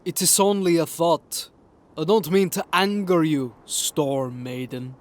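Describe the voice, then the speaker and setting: A man voicing a brooding, superstitious Norse warrior in an audiobook, speaking slowly and gravely. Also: a little high, natural voice. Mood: placating. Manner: slow, grave. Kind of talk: audiobook character acting.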